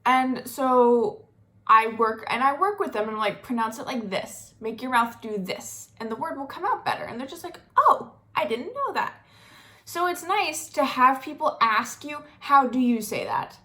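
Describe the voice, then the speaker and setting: A woman's voice: sits high, big pitch swings, measured pace, pushed and bright. A young American woman from Florida chatting casually to camera, with lots of fillers and asides. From Florida, United States. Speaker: vlogger.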